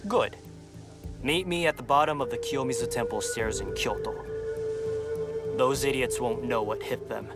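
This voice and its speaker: An actor playing a brash, flirtatious outlaw who fights for the poor, in video game cutscene style. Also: male voice, medium pitch, normal speed, long pauses, close to an everyday voice. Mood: playful, sly. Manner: brash, teasing, foul-mouthed. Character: cocky roguish male outlaw, flirtatious, righteous toward the poor. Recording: music behind the voice. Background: music.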